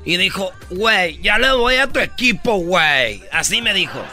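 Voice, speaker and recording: A man co-hosting a comic radio show, animated and joking like an announcer. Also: high-pitched, moderate pace, faint music behind.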